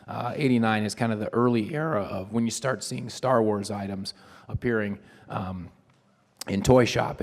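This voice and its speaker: A hoarse man giving a chatty panel talk to a live audience, with frequent ums and restarts. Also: on the low side. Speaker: convention panel presenter. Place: convention hall panel room. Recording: long hall reverb.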